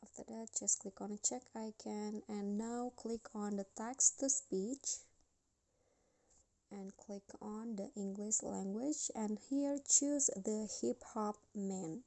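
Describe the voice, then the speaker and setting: A woman's voice: medium pitch, fairly even intonation, takes it slowly, dark tone. A woman hosting a spoken step-by-step tutorial for an editing app. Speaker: tutorial host.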